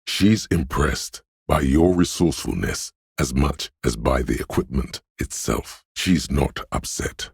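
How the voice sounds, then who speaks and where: A man voicing a brooding, dryly wry inner voice that narrates a detective's thoughts in a video game, gravelly and husky, with measured, deliberate delivery. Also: extremely low pitch, animated, a little mellow, dry recording, studio quality, exaggerated voice. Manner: measured, deliberate, literary second-person narration.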